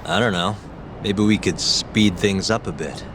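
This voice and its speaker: An actor playing a brash, laconic private detective in a video game, with a dryly teasing manner. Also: male voice, low-pitched, measured pace, background noise. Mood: scheming, wry. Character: dry, brash, reckless male private detective. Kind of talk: English game dub acting.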